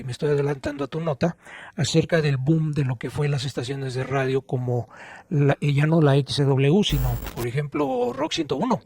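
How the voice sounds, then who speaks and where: Higher-pitched middle-aged Mexican man reminiscing. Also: creaky, darkish tone. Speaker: podcast co-host. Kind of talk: reminiscing conversational storytelling.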